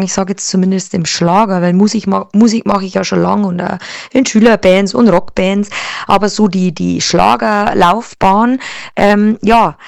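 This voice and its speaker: A young woman from Lower Bavaria with a Bavarian accent, a singer answering interview questions with many hesitations and fillers. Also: creaky, a little mellow, no echo. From Lower Bavaria, Germany. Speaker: Schlager singer, interview guest.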